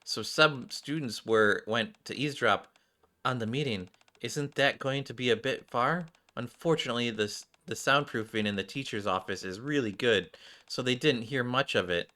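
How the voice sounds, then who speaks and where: A man's voice: medium pitch, normal speed, sharp tone. A man streaming a game, reading its dialogue aloud and acting it out, now and then stumbling and correcting himself.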